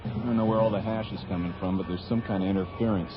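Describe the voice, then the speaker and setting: A man's voice: lowish voice, fairly even intonation, fast, music in the background, natural voice. An actor playing an earnest male communications technician on a space station in a radio drama, talking plainly. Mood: worried. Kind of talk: science fiction radio drama acting. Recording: dull old radio drama recording.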